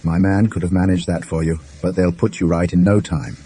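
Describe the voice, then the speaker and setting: A man voicing a jovial, teasing, unruffled gentleman with chatty exclamations in a ghost story audiobook. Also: sits low, steady tone, fairly quick, breathy edge. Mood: sympathetic.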